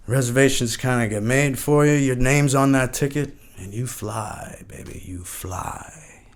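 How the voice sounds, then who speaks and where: A man's voice: medium pitch, measured pace, lightly acted. An actor playing a washed-up eighties rock frontman, rambling and repeating himself nostalgically and grandly in a mock podcast interview. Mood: defiant, musing.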